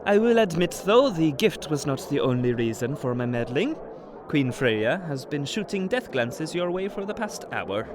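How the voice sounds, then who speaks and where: A man's voice: a little high, very animated, normal speed, background noise. A man playing a sly, teasing trickster god in an audio drama, speaking with mock courtesy and formal, mischievous phrasing. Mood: smooth, courtly.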